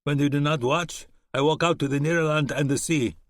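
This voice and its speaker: A man voicing a young German immigrant and former soldier who speaks in halting, oddly ordered English. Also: high-pitched, fairly bright tone, no room sound, clean studio sound, pitched above the performer's own voice. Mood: earnest. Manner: German accent, broken word order.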